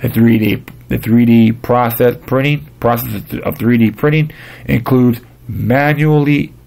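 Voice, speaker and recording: A man with a dry voice hosting a podcast, reading and commenting informally in a halting way, often stumbling and repeating himself. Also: mid-range voice, creaky, a little mellow. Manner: halting reader, stumbling over words and repeating phrases. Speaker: podcast host. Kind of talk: reading news articles aloud with casual commentary.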